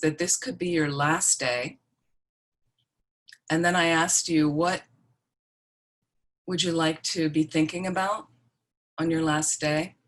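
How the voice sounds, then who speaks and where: A woman's voice: sits low, fairly bright tone. A woman leading an online Buddhist class in a conversational, reassuring way, often checking that listeners follow. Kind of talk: informal dharma teaching with questions to participants. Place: online group video call.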